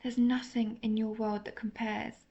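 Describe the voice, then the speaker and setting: Slow, creaky-voiced remorseful vampire woman, acted. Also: on the high side, level tone, highs cut off. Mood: craving, awed. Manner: hesitant, confessional, softly melancholic. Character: lovesick apologetic female vampire, immortal, intense, vulnerable. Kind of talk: intimate audio roleplay monologue.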